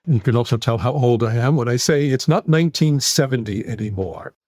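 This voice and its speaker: A man in his sixties from the United States with a New York accent, answering podcast interview questions in long, animated run-on sentences. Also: medium pitch, fairly quick, dry recording, studio quality. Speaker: guest psychologist.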